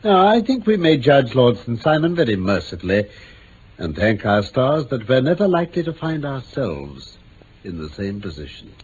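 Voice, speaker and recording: A man's voice: mid-range voice, sing-song, normal speed, a little mellow, lightly acted. Seeking a man to play a courteous, self-assured British detective for old radio drama: swooping intonation, precise explanations, faint hiss. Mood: wry, forgiving. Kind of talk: 1950s-60s BBC radio drama acting. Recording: dull vintage radio recording, faint noise.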